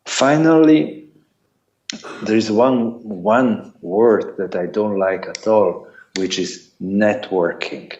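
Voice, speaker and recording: A middle-aged man with an Italian accent giving a lecture to students, deliberate and repetitive, counting his points off one by one. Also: on the low side, a little mellow, slight background noise. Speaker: sports lawyer giving a talk. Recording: echoey, dull lo-fi sound.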